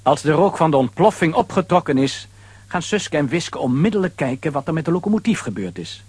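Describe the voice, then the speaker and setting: A man's voice: on the high side, big pitch swings, a little fast, darkish tone, husky, no room sound. A man narrating a children's radio play as a scripted storyteller.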